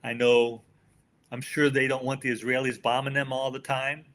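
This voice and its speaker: Older American male interview guest, mid-pitched voice, folksy and emphatic delivery. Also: level tone, moderate pace, fairly bright tone, a little hiss or hum. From United States. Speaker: podcast guest, author. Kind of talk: conversational interview answers.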